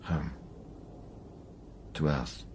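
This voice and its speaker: An actor playing a weary, troubled scientist in an audio drama, speaking in a hushed, halting way. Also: male voice, a little hiss or hum. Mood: tender, pleading. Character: weary, troubled male scientist, intimate and bleak.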